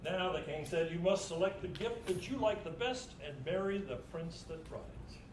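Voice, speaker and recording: A man's voice: high voice, moderate pace, reverberant, noisy background. A man narrating a literary story in a live staged reading.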